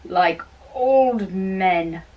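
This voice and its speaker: A woman doing an amateur dramatic reading of a play, haltingly, often repeating and correcting her lines. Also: mid-range voice, far from the mic, muffled recording.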